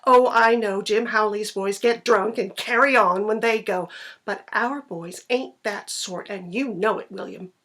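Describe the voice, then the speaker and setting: A brisk, no-nonsense farm mother, as a woman narrator puts her on from a classic short story. She argues emphatically in folksy rural phrasing, with a husky edge to her middle-pitched voice. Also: fairly bright tone. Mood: insistent, arguing.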